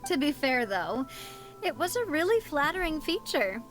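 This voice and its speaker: A woman's voice: high-pitched, big pitch swings, moderate pace, a bit bright. A woman voicing a confident, cheerful young noblewoman leader in an anime-style video game, with a breezy, self-assured, theatrical delivery. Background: game music.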